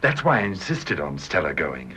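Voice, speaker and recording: An actor playing a high-spirited, affectionate crippled husband in a British radio drama, with an excitable, teasing, emphatic British delivery. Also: male voice, moderate pace, slightly rough, full character voice. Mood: excited, teasing. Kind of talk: British stage-play radio drama acting.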